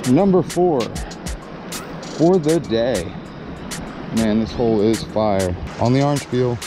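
A man's voice: mid-range voice, lively intonation, slow, music in the background. A man giving chatty, upbeat running commentary to viewers while fishing by a creek outdoors. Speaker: fishing vlogger. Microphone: body-worn action camera.

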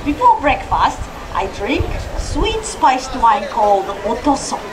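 A woman performing as a Japanese storyteller vendor, telling a gentle story to an audience. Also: high voice, big pitch swings, a little slow, a crowd in the background. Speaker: theme park storyteller.

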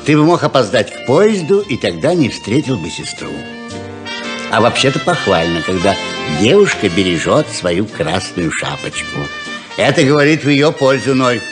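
An actor playing a patient middle-aged father of grown sons in a 1970s radio play, gentle and conciliatory. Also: medium pitch, big pitch swings, normal speed, over music, lightly acted. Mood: gently amused.

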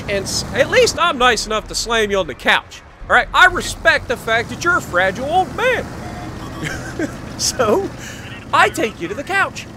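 A man's voice: extremely high pitch, background noise. A young American man casually telling a story over tank game play in short, emphatic phrases, as host of a gaming video.

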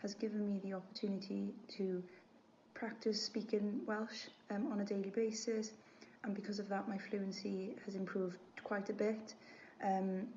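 A woman in her twenties, a student, giving a steady recorded statement on webcam. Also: mid-range voice, moderate pace, dull audio, a little hiss or hum. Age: 20s. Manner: steady, read-through delivery.

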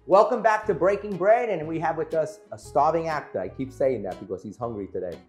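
An older man who hosts as a pastor, chatting with a guest in an easygoing, halting way with many ums, on a lapel mic in a home kitchen. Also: fairly high pitch, expressive, faint music behind. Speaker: host and pastor. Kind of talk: casual interview chat.

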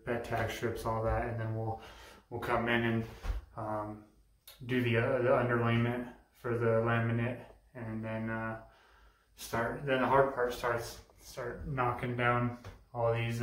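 An American man in his thirties casually talking through a DIY renovation, plain-spoken and halting as he thinks aloud. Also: medium pitch. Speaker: DIY vlogger. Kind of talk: casual hands-on renovation walkthrough. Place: small empty bedroom indoors. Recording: roomy echo, faint hiss and handling clicks.

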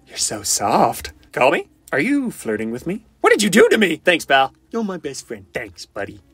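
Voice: mid-range voice, huge pitch swings, moderate pace, a bit bright, husky, no room sound, heavily acted. An amateur voice actor playing a cocky, goofy, sarcastic survivor in fan-made video game voice lines, flippant and panicky with theatrical delivery. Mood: teasing, coy.

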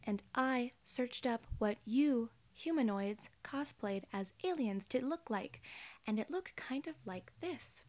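A soft-spoken woman in her twenties doing an ASMR role-play as a polite alien gatekeeper, with measured, formal phrasing, close to the microphone. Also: on the high side, expressive. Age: 20s. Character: young, polite, friendly female alien gatekeeper. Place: small indoor room.

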